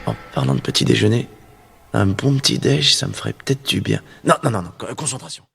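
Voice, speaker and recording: A male cartoon race car full of swagger. The voice is low, very husky and crackling with fry, talking himself into a win. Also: lively intonation, fast talker, fairly bright tone, heavily acted. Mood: cocky focus. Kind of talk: animated film voice acting.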